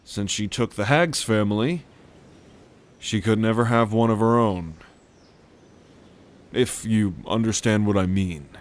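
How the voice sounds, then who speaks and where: A man's voice: on the low side, lightly acted. A man playing a world-weary, blunt dragon brother in a roleplay audio drama, telling things in a wry way. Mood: grave, reflective. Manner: wry, unhurried storytelling with cursing.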